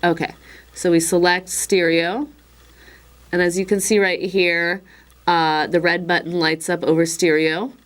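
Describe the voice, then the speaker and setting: Slightly hoarse woman, chatty demo. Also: on the low side, flat delivery, measured pace. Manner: chatty and informal, with many fillers and wry asides. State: a little hoarse. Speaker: instructor demonstrating a recorder. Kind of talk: casual product demo.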